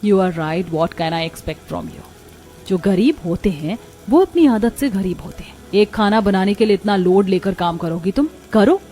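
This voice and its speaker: A woman's voice: mid-range voice, animated, measured pace, warm tone, close to an everyday voice. A woman voicing a haughty, scornful rich daughter-in-law in a cartoon story, curt and complaining. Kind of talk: Hindi moral cartoon story dialogue.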